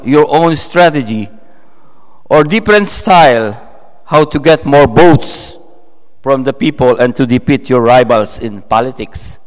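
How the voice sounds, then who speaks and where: A man from the Philippines with a Filipino accent, preaching a sermon in a halting way, full of fillers and restarts. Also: medium pitch. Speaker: pastor. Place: church hall. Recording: long hall reverb.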